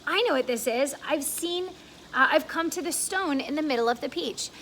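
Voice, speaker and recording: A woman's voice: high-pitched, normal speed, a bit bright, slight background noise, rough recording. A woman voicing an amazed young boy in a children's story read aloud. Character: small boy, amazed and wondering.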